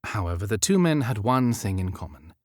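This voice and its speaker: Expressive male audiobook narrator, dry recording. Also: fairly low pitch, a little fast, no room sound. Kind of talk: scripted fiction narration, period romance.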